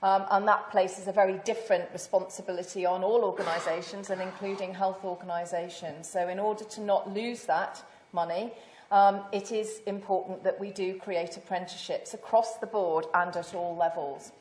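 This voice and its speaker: A woman in her sixties, a senior British nurse, answering on a panel in a loud, measured voice with frequent fillers and restarts. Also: medium pitch, fairly even intonation. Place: lecture hall. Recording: echoey hall.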